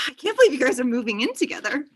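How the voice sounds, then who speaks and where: A woman's voice: high voice, sing-song, quick pace, husky, full character voice. A young woman playing a chatty, overenthusiastic and possessive best friend in a comedy table read over a video call. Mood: indignant. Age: young adult. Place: indoor room on a video call.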